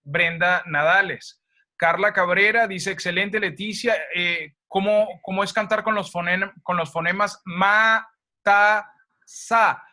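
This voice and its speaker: A Venezuelan man hosts a webinar, reading out messages from the audience. His voice is high and piercingly bright. He talks in a halting, conversational way. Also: fairly even intonation. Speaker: webinar host. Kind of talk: reading out audience comments and questions.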